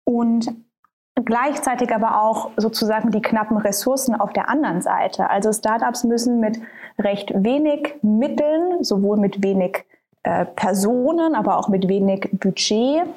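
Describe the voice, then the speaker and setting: A woman's voice: fairly high pitch, unhurried, darkish tone. A woman being interviewed as an author, thinking aloud with frequent hesitations and fillers. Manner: thoughtful, many hesitations and fillers. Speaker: author and guest. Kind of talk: conversational podcast interview.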